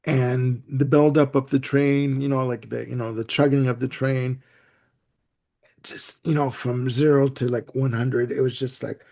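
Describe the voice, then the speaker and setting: A man's voice: mid-range voice, flat delivery, measured pace, gravelly, darkish tone. A man whose first language is Italian, talking enthusiastically in a casual album discussion and rambling with "you know" and "like". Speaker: music show panelist. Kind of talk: casual panel chat about albums.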